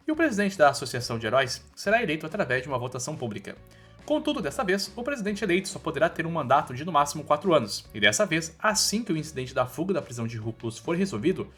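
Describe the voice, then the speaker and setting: A man's voice: on the high side, a bit bright, breathy edge. A man with a Brazilian accent reads a scripted story recap in an informal, slangy storytelling way. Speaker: recap narrator. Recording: voice-over with background music. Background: music.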